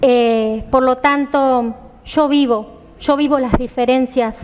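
A woman's voice: sits high, fairly even intonation, slow, big-room sound, muffled recording, a little hiss or hum. A woman in her thirties with an Argentine accent, a candidate speaking earnestly and emphatically in a TV studio interview on a headset mic. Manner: earnest, emphatic, repeats phrases for stress. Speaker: political candidate being interviewed.

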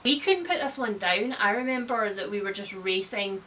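A woman in her thirties giving an informal, animated book review, chatting indoors. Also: mid-range voice, moderate pace, noisy background. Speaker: book vlogger. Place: indoor room with bookshelves.

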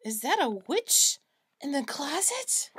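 A high-pitched woman reads a picture book to young children. She takes her time, very slowly, and tells the story with expressive drama, in the manner of a preschool teacher. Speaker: preschool teacher reading aloud.

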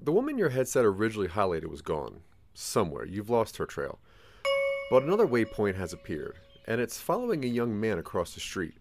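A man's voice: on the low side, wildly swooping pitch, fairly quick, a little hiss or hum. A man narrating a scripted second-person audio drama in a theatrical, ominous storytelling manner.

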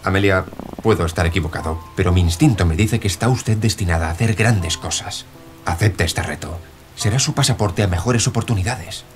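A man's voice: low-pitched, fairly quick, soft background music, lightly acted. A man playing a formal, persuasive promoter in an audio drama. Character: persuasive, formal male promoter recruiting an aviator.

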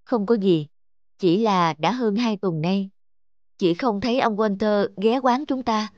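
A woman's voice: mid-range voice, a little slow, no room sound, highs cut off, natural voice. A woman voicing a patient, kindly young waitress in a cartoon, speaking politely and reassuringly. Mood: thoughtful. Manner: courteous, gently reassuring. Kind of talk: animated cartoon dubbing. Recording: music behind a close dry voice.

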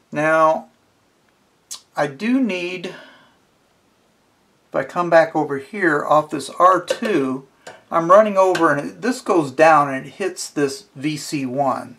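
A middle-aged man teaches in a casual, informal way, talking himself through each step. His delivery is slow, with a creaky, gravelly edge, and he tends to trail off into murmurs. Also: a little high, fairly bright tone. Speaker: instructor. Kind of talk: casual hands-on tutorial, thinking aloud. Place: indoors at a wooden workbench.

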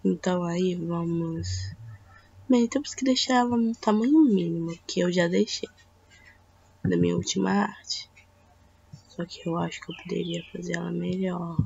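A teenage girl from Brazil with a Brazilian Portuguese accent, hoarse from a cold, chatting hesitantly through a drawing tutorial at home. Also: on the low side, moderate pace, long pauses, darkish tone. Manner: chatty, hesitant, talks to viewers as "gente". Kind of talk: casual screen-recorded tutorial. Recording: dull lo-fi mic with faint hiss.

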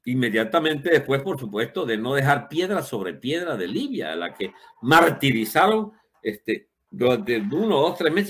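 Male political commentator, seventies. Emphatic, opinionated off-the-cuff monologue, bright and sharp with big pitch swings. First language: Spanish. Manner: emphatic, rhetorical questions, colourful wording.

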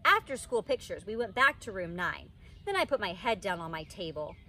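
Animated woman reading a children's story. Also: mid-range voice, normal speed. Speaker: teacher reading a story aloud. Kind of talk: expressive children's storybook narration. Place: outdoors in a wicker pod chair under trees. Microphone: camera a few feet away. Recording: outdoor ambient noise.

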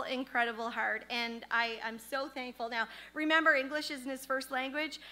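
A woman's voice: high-pitched, bright, forceful tone. A middle-aged woman leading a church service from a pulpit, praying fervently and then making friendly announcements. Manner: fervent in prayer, chatty and laughing in announcements. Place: church sanctuary stage, at a wooden pulpit. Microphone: handheld microphone.

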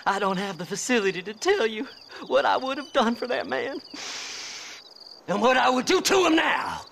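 Half-crazed western game hermit, paranoid, erratic, very high and swooping. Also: male voice, expressive, normal speed, fairly bright tone, a little hiss or hum, full character voice. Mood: manic, aggrieved. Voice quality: gravelly, creaky. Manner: agitated, erratic, rambling. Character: half-mad, paranoid, unwashed treasure-hunting loner. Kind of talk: video game western acting.

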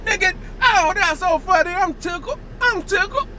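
An American prank vlogger chatting excitedly and casually to the camera, playfully repeating phrases over and over. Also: extremely high pitch, moderate pace. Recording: noisy handheld recording, vehicle and street sounds.

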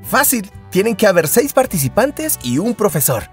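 A man playing an excitable, scheming, upbeat teenage hero student in a comic fandub, eager and wheedling. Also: high-pitched, normal speed, faint music behind. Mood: persuading.

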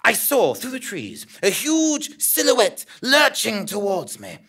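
A very animated male voice of an actor as an earnest investigative journalist. He narrates a comic stage audio drama in a pompous, wordy way, with big dramatic pauses and lively swings of pitch. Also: husky, exaggerated voice. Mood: awed. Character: earnest, melodramatic male investigative journalist telling his adventure. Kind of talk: theatrical scripted narration, live on stage.

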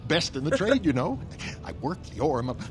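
A man's voice: fairly high pitch, sing-song, normal speed, over music. A man voicing a simple, nervous, eager dwarf merchant in a video game, stammering and giggly. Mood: nervous eagerness. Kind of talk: video game dialogue acting.

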